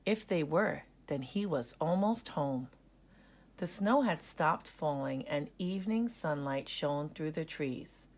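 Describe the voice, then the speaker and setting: A woman narrating a children's story in an expressive read-aloud style. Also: low voice, takes it slowly.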